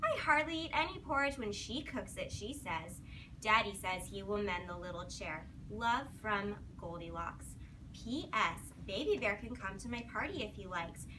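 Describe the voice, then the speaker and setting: A woman's voice: mid-range voice, animated, normal speed. A woman teacher reading a storybook aloud to young children, encouraging them and putting questions to them as she goes. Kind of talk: interactive classroom storybook read-aloud. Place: classroom with children. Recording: noisy classroom, distant microphone.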